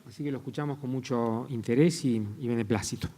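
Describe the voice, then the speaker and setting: A man's voice: medium pitch, steady tone, very fast, mellow. A man moderating a conference, formally thanking one speaker and introducing the next. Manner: formal, courteous. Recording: echoey hall.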